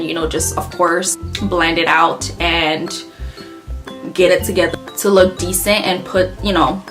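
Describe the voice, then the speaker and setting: A woman with a light Haitian Creole accent talks through her favorite makeup products in a loose, rambling way, pausing on ums and correcting herself as she goes. Her voice sits on the lower side, and a guitar track plays clearly behind her. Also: fairly bright tone. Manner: chatty, rambling, many ums and self-corrections. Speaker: beauty vlogger. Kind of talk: casual makeup product review. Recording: clear background guitar music.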